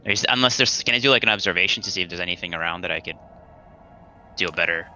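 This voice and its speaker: A man's voice: low-pitched, rapid-fire, sharp tone, soft background music. A man chatting casually as a player in a tabletop game. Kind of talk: casual chat.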